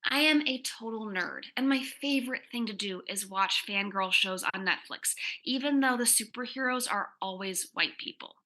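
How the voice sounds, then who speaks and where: A woman reading the part of an excitable, nerdy teenage girl in a comic reading, gushing and self-deprecating. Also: medium pitch, normal speed, few pauses, natural voice, poor audio. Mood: confiding, wry. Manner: gushing, chatty teenage exaggeration. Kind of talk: dramatic comic reading, first-person teen narration.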